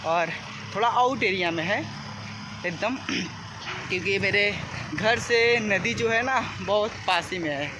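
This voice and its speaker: A man's voice: high-pitched, measured pace, pauses often. A man with an eastern Uttar Pradesh accent talking casually to viewers in a vlog, explaining things repetitively with frequent check-in tags. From Gorakhpur, India. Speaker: vlogger. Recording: clearly noisy amateur vlog audio with ambience.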